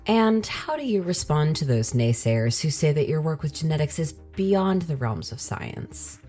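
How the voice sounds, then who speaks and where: An actress playing a polite, probing media interviewer in an audio drama, formal and composed. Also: female voice, low-pitched, sing-song, measured pace, mellow, soft background music.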